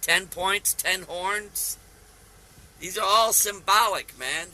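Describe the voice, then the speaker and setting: A man's voice: sits high, slow pace, bright, forceful tone, rough recording. A man in his fifties giving a rambling religious vlog monologue, earnest and insistent, with folksy phrasing that keeps addressing listeners directly. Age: 50s. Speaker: video channel host.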